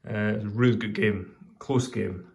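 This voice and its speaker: Rambling Scottish man, low voice. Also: steady tone, gravelly, darkish tone. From Scotland. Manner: rambling, hesitant, many ums and restarts; pauses while lighting a pipe. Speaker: pipe tobacco reviewer. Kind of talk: casual video review.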